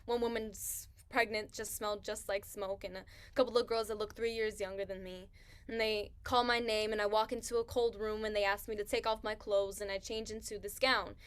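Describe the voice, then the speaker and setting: An actress in a staged reading playing a grieving young pregnant woman who talks in halting, hushed phrases. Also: medium pitch, level tone, smooth, close to an everyday voice. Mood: hushed grief. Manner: halting, hushed, searching for words.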